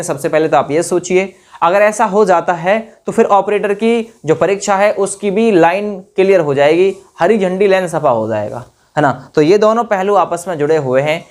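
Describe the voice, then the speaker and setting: A man's voice: sits high, a little fast, a little mellow. A man presenting an exam update to students informally, addressing listeners directly, repeating phrases and adding tag questions.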